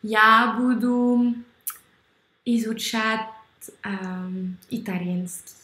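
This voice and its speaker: A young woman with a French accent, speaking slowly and haltingly on a video call, searching for words with many fillers. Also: fairly high pitch, fairly even intonation, sharp tone, echoey room. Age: young adult. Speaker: language-learning video host. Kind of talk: halting conversation in a learned language. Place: small room indoors. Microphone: red headphones, webcam video call.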